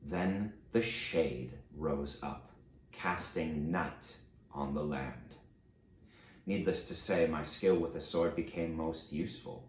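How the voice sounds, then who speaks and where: A very low-voiced aged conqueror, acted by a man, full of guilt and self-loathing. He delivers his confession like a dramatic audiobook, solemn and deliberate. The sound rings with noticeable room echo. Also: slight background noise. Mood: grim recollection. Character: aged fallen tyrant conqueror, remorseful, self-loathing, grandiose.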